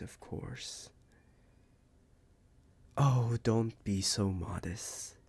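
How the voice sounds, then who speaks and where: A man voicing a possessive, smug werewolf alpha in an ASMR roleplay, husky and breathy, often whispering, with teasing deliberate pauses. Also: mid-range voice, darkish tone, exaggerated voice.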